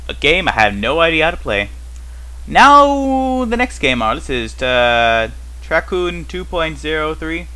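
A man's voice: fairly high pitch, sharp tone, poor audio. A man giving casual, unscripted gameplay commentary as host, rambling and thinking aloud with wry, puzzled questions to himself. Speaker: host of a gaming series.

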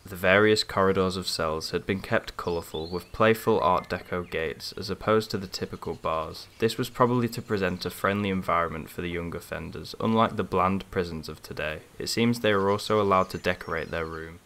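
A British man exploring an abandoned building, talking quietly and observantly through what he finds. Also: low-pitched, fairly quick, hardly any pauses, reverberant. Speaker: urban explorer host. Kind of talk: exploring walkthrough with scripted narration.

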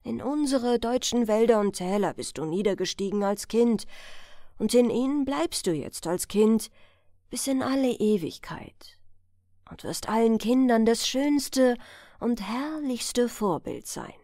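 A woman's voice: fairly high pitch, animated, unhurried, mellow, dry recording, studio quality. A woman voicing a kindly, motherly fairy spirit, reverent and solemn, in a storybook reading. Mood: reverent, moved. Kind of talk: fairy-tale audiobook character reading.

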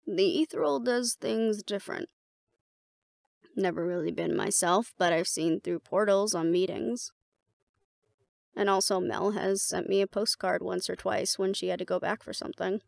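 A woman playing a battle-seasoned, fond and teasing mate in a roleplay audio drama, talking casually and intimately with a gravelly creak and hesitant pauses. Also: medium pitch, no room sound, lightly acted. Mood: casual, explaining.

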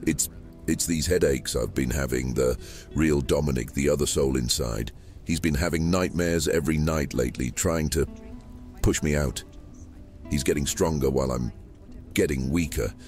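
A man's voice: extremely low pitch, moderate pace, a little mellow, faint music behind. A man playing a brooding supernatural soul inhabiting a Roman soldier, confiding its troubles in a fantasy audio drama. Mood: troubled, confiding.

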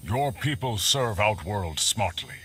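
Stern game warrior, deep slow commanding voice, formal and menacing. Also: male voice, lively intonation, heavy background noise. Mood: grave. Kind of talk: video game fight banter acting.

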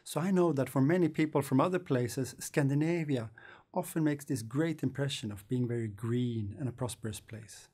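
A man, presenting a scripted lecture in a studio in a measured, thoughtful way. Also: medium pitch, mellow, husky. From Sweden. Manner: measured, thoughtful explaining with hand gestures. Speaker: course lecturer. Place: studio against a green screen.

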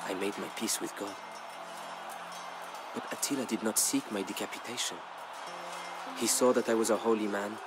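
A man with a fairly low voice narrates a historical saga. His delivery is solemn and measured, like scripted storytelling for a game campaign, with music clearly playing behind him. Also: poor audio. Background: background music.

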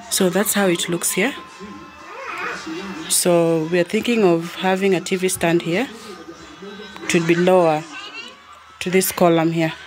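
A Kenyan woman gives a casual home tour, speaking slowly in a slightly low voice. She repeats phrases as she points things out, with music playing behind her. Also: low-quality recording. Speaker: vlogger. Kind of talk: casual house tour narration. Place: indoors, living room of a family home. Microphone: handheld phone or camera held by the speaker.

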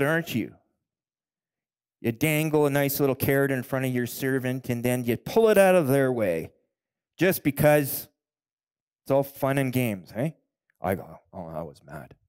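A man, a pastor telling his own story in a sermon, building to loud, emphatic peaks. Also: mid-range voice, clear, resonant tone, dry recording, studio quality. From Canada. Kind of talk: sermon with personal testimony. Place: church stage, indoors. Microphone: headset mic.

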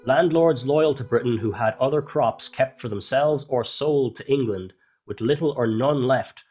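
A man's voice: mid-range voice, darkish tone, slightly rough, faint music behind. Solemn Irish male storyteller. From Ireland. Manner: measured, solemn storytelling with dramatic pauses. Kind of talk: scripted historical narration.

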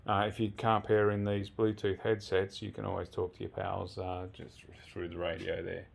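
A man's voice: sits low, monotone, normal speed, vocal fry. An Australian man giving a casual, laid-back how-to walkthrough in a rambling, colloquial way. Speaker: presenter of a motorcycle gear video. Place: indoors in a home garage.

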